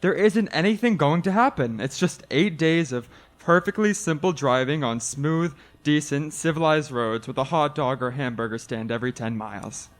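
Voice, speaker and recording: An actor playing a frightened man of thirty-six who tells his story with halting, dramatic urgency in a radio play. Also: fairly high pitch, close to an everyday voice. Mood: fond, reassuring. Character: haunted, frightened thirty-six-year-old male traveler telling his story. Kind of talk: radio play acting, first-person suspense narration.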